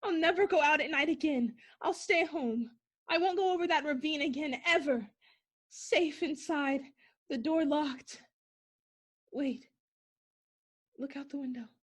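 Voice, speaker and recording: Scared young woman played by an actress in an old-style radio play, super high voice, frantic and breathless, talking to herself. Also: a little slow, long pauses. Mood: shaky relief. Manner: breathless, frantic self-talk in short repeated bursts. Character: terrified young woman fleeing home alone at night. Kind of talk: 1940s radio play acting.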